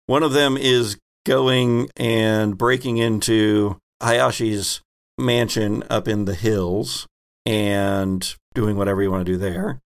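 A man's voice: on the low side, fairly even intonation, slow. A man hosting a tabletop game as game master, informally laying out the options for the players.

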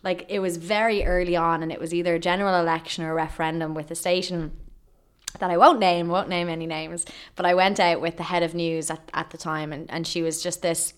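Irish woman in her thirties, guest on a chat podcast. Her voice sits a bit low for a woman, and she tells her stories in a rambling, filler-heavy way. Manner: chatty storyteller, lots of fillers, laughs often. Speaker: guest, radio journalist.

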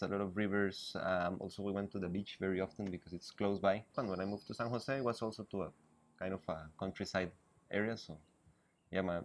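A Costa Rican man with a Spanish accent, a biologist answering interview questions, often restarting his sentences and laughing easily. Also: lowish voice. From Costa Rica. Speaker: guest, biologist. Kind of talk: interview conversation. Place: riverbank outdoors. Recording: outdoors with faint ambience.

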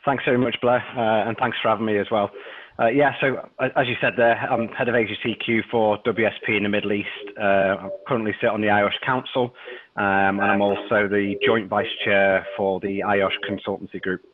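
A man in his thirties with a Liverpool accent, a podcast guest who talks haltingly and repeats words and fillers. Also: lowish voice, steady tone. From England. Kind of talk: casual interview chat. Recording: dry tinny phone-like line.

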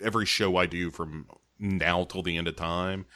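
Bright-voiced man, eager podcast co-host. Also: low voice, normal speed. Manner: energetic, stammering restarts, emphatic. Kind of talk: casual chat and episode recap.

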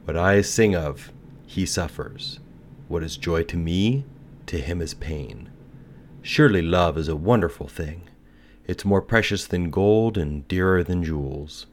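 A man's voice: deep voice, a little slow, a little hiss or hum. A man voicing a small female nightingale, earnest and tender, in a storybook telling. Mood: admiring, tender.

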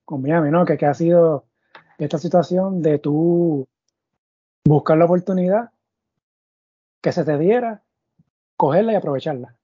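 A man hosts a sports interview, circling his questions with fillers and restarts. His voice is very dark and husky. The recording is dry and a little muffled. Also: on the high side, a little slow, long pauses, no room sound. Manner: thinks aloud with many fillers and restarts. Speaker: podcast host. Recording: dull, muffled audio.

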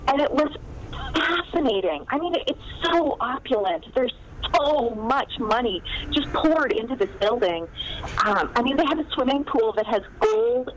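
A woman author talking casually in an interview, chatty and animated, with plenty of fillers and laughs. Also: on the high side, measured pace, rough recording. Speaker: guest author. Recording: faint background noise.

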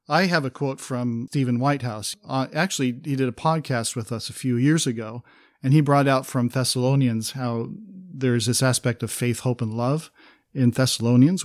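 A man's voice: mid-range voice, no echo, clean studio sound. A man co-hosting a conversational Bible study podcast, talking with enthusiasm and reading scripture aloud. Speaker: podcast co-host.

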